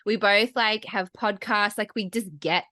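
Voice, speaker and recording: A woman's voice: mid-range voice, fairly even intonation, measured pace, bright, forceful tone, dry recording, clean studio sound. A woman with an Australian accent hosting a podcast, chatting casually, swearing now and then. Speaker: podcast host. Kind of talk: casual chat interview.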